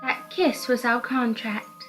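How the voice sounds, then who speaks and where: Game villainess, seductive and proud, high-voiced, condescending, lording it over a servant woman acting it out, music underneath. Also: steady tone, takes it slowly. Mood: commanding, seductive. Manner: gloating, commanding, condescending. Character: seductive, proud, malicious supernatural mistress claiming a servant.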